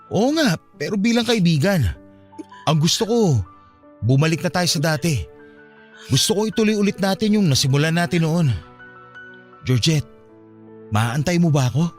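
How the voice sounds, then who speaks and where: A man's voice: fairly high pitch, expressive, normal speed, long pauses, soft background music, close to an everyday voice. A man with a Filipino accent playing an earnest, wounded young man, pleading emotionally in a radio drama. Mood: earnest, tender.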